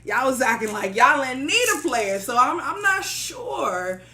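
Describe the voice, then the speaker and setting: A woman's voice: fairly high pitch, lively intonation, moderate pace, a bit bright. A woman voicing a proud male thunder god who is teasing and grudging, with slangy banter, in a told folktale.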